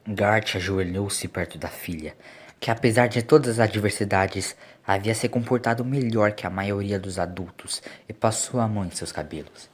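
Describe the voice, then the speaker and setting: A man's voice: lowish voice, moderate pace. A man with a Brazilian accent reading a scripted audio story as its narrator. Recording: music under the voice. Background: music.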